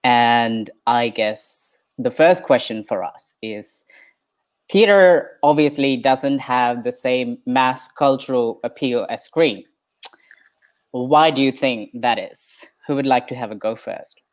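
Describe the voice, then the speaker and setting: Host, theatre maker, mid pitch, brisk online panel opener. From southwestern Western Australia. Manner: brisk, upbeat host with fillers.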